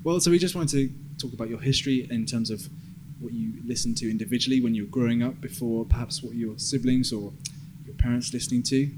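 A man's voice: mid-range voice, fast talker, hardly any pauses, background noise. A man hosting a podcast in a casual interview style. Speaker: podcast host.

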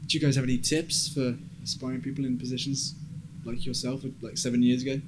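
A man's voice: medium pitch, level tone, fast talker. A man interviewing guests casually, asking questions in a tentative, conversational way. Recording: clear background ambient noise.

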